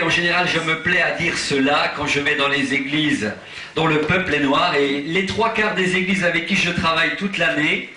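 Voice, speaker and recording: A man from Portugal, an evangelist preaching at a church conference, impassioned and rising to shouts with chuckling asides. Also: on the high side. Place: large church hall. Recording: echoey hall, voice from far off.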